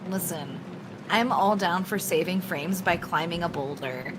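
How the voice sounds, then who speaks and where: A woman's voice: on the low side, a little slow. Wry woman co-commentating, faint background noise. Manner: wry, mock-serious, jokey. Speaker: co-commentator on a speedrun stream. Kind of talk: casual banter commentary.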